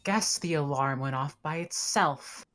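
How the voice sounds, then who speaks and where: Woman reading as a tough suspicious female space officer, low voice, sci-fi table read. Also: a little slow, fairly bright tone. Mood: curt.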